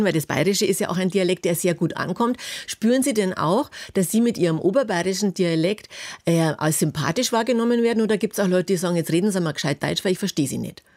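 A woman radio presenter putting friendly, brisk questions to a guest. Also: on the low side, darkish tone, dry recording, studio quality. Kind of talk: radio interview.

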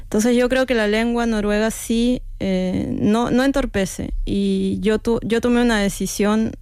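A woman's voice: fairly high pitch, fairly even intonation, unhurried, darkish tone, clean tone, no room sound, clean studio sound. A woman with a Peruvian Spanish accent, answering as an interviewed author in a reflective, conversational way, often checking in with short tag questions.

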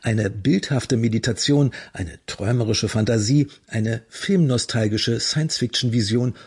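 A man hosting a radio culture show, reading scripted narration in a measured, reflective way. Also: medium pitch, darkish tone, slightly rough.

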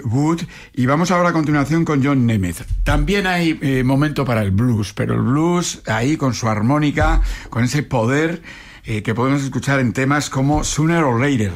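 A man from hosting a music radio show, chatting in a rambling way full of restarts. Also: mid-range voice. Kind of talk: conversational radio presenting.

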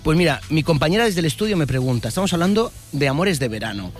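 A man's voice: fairly high pitch, expressive, quick pace. A man interviewing people on the street as a reporter, chatty and teasing, with lots of exclamations and follow-up questions. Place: street, outdoors. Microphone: handheld microphone.

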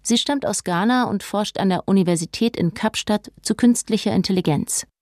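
A woman's voice: medium pitch, moderate pace, a little mellow, dry recording. A woman narrating a scripted news documentary as a reporter, recorded close on a studio microphone.

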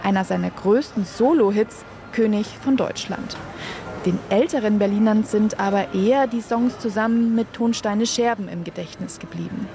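A woman reporter reading a scripted radio news feature in a measured, calm delivery. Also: medium pitch, slight background noise.

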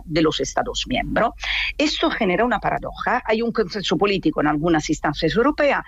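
A woman with an Italian accent, an expert guest answering interview questions and pausing on fillers and restarts. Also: lowish voice, breathy edge, no room sound. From Italy. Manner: thoughtful, many fillers and restarts. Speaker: gender and rights expert guest. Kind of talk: interview by phone. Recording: muffled dry phone line.

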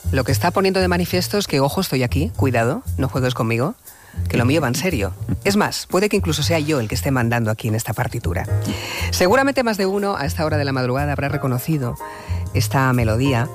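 A man hosts a late-night radio talk, his voice sitting in a middle register. He speaks in a measured, reflective way and steers his guest with questions. Soft music plays faintly behind him. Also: medium pitch, expressive. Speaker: radio host.

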